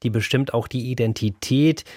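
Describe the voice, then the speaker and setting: Male radio host, mid-pitched, dry studio sound, easy conversational lead-in. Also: moderate pace, a little mellow, no echo. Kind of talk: scripted show introduction.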